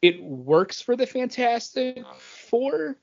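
A man co-hosting a comic book podcast, chatting casually and haltingly as he thinks aloud. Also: very high voice, very animated, vocal fry. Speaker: podcast co-host reviewing comic books. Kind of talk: casual chat. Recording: dull, band-limited audio.

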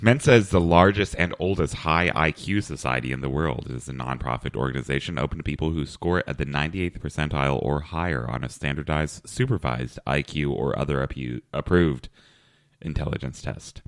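Very deep-voiced, dry-humored male host. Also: no echo. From Kentucky. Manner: dry, halting with many fillers. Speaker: podcast host. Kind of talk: deadpan comedic podcast chat.

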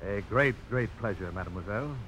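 A man's voice: on the low side, animated, brisk, a bit bright, poor audio. An actor playing a firm, courteous, plain-spoken young frontiersman in a radio drama. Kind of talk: 1950s radio drama acting. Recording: hissy old radio broadcast.

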